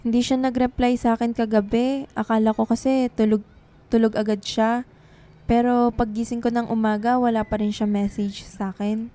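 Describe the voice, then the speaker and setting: An actress playing a tender, anxious young woman writer in a radio drama, soft and earnest in manner. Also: on the high side, little pitch movement, moderate pace, lightly acted. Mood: glum, uneasy.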